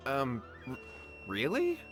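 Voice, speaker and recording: Earnest, worried young villager. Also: male voice, mid-range voice, huge pitch swings, takes it slowly. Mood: flustered, deferential. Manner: deferential, earnest, pleading. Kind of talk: animated game voice acting. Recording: music behind the voice.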